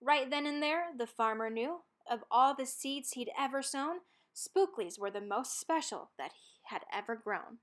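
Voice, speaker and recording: A woman's voice: sits high, expressive, a little slow, few pauses. A woman reading a rhyming children's picture book aloud, expressively and with dramatic emphasis on key words. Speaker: storybook reader.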